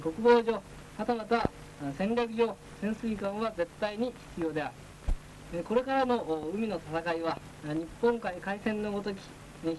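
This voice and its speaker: A man's voice: high voice, a little mellow. A man giving a formal military lecture as a naval instructor, stern and declamatory, with frequent hesitation sounds. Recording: noisy 1940s film soundtrack.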